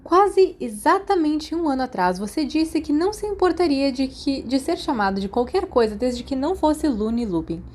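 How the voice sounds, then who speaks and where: A woman's voice: sits high, lively intonation, moderate pace, hardly any pauses, darkish tone, voice pushed up. A Brazilian woman voicing a dramatic, cheeky, easily offended schoolboy in a storybook, with theatrical exclamations. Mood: offended, insistent.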